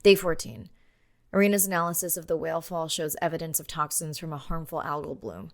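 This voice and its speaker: An actress playing a dedicated young woman ocean scientist and diver in a video game, calm and matter-of-fact. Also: low-pitched, fairly quick, no echo, studio quality. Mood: reflective. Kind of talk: naturalistic game voice acting.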